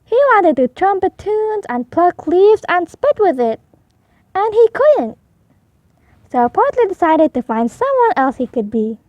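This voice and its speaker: A woman reads a picture book aloud for young children. Her voice is high and very animated, with expressive storytelling and a dry, close sound. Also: measured pace, no room sound.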